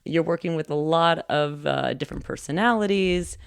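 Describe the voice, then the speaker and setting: Female podcast host, lowish voice, playful and teasing; dry, close recording. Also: moderate pace, vocal fry, clear, resonant tone, dry recording. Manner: teasing, playful, laughs often. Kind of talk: casual podcast interview.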